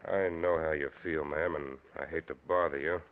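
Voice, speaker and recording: A man's voice: extremely low pitch, fairly even intonation, moderate pace, phone-quality sound. An actor playing a kindly, folksy motorcycle policeman with a plainspoken, reassuring manner in an old-time radio mystery. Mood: gentle, official. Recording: thin, noisy old radio recording.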